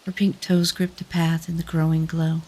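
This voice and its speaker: A woman's voice: deep voice, little pitch movement, normal speed, darkish tone, a little hiss or hum. Woman narrating a fantasy story. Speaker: narrator and lead actor.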